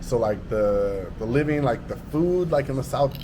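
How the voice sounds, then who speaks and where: A man's voice: medium pitch, lively intonation, normal speed, noisy background. A man in casual podcast chat giving travel advice, laid-back and slangy, rambling with lots of fillers. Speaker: guest sharing travel advice. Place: Northeast Brazil, recorded on location.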